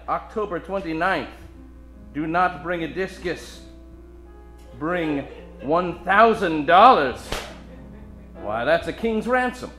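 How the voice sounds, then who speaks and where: A man's voice: a little high, fairly bright tone, echoey room, exaggerated voice, low-quality recording. A man playing a wisecracking, hard-drinking private detective with joking hard-boiled patter in a live comedic radio play. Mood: dry, mocking.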